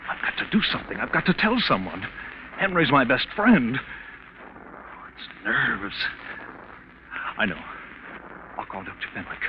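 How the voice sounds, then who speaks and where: An actor playing a troubled, overworked plant official, nervous and agitated, in melodramatic 1940s radio style. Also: male voice, medium pitch, sing-song, measured pace, pauses often. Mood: frightened. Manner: anxious, agitated. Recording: noisy, tinny old radio broadcast recording.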